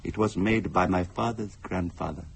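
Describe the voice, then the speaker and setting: Radio play: mysterious visitor, very deep, solemn, measured and polite but insistent; slight background noise. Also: male voice, gravelly. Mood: courteous, solemn. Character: mysterious male stranger, grave, courteous, insistent visitor. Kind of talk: radio drama acting.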